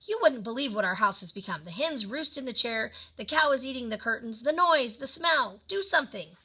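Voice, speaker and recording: A woman's voice: a little high, lively intonation, brisk, sharp tone, slightly rough, heavily acted. A woman voicing a desperate, overwhelmed poor husband in a storybook, pleading and wailing for help.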